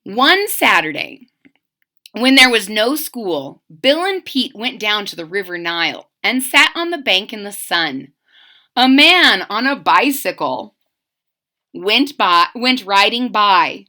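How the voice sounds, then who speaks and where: A woman reading a children's picture book aloud expressively, pausing to explain it simply and put questions to young listeners. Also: mid-range voice, big pitch swings, pushed and bright.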